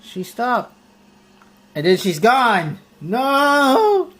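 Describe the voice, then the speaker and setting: A woman game streamer reacting casually and with animated exclamations on a phone livestream with faint noise. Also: fairly high pitch, drawn-out words.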